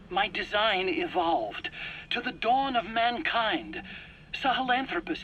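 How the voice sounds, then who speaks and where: A man's voice: sits high, bright, forceful tone, highs cut off, a little hiss or hum, full character voice. An actor playing an anxious, self-pitying male scientist in a video game cutscene, pleading and stammering indignantly in his own defense. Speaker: accused scientist under interrogation.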